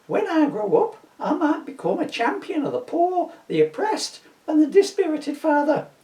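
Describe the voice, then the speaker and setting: A man's voice: very high-pitched, wildly swooping pitch, normal speed, a little mellow, pitched above the performer's own voice, exaggerated voice. A man voicing a naive, earnest teenage boy in a comedy sketch reading. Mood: earnest, idealistic. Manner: earnest, wondering. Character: naive, earnest fourteen-year-old boy questioning his father. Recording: roomy echo, distant mic.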